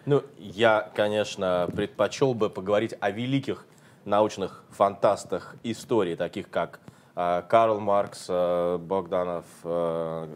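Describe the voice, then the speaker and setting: A man's voice: sits low, slow pace. A man interpreting an interview consecutively, translating steadily with occasional hesitations.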